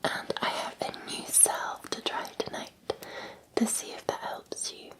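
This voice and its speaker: A Scottish woman playing a gentle, attentive Victorian housemaid in an ASMR roleplay, in a soft, breathy, soothing whisper with polite deference. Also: unhurried, lightly acted. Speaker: ASMR performer.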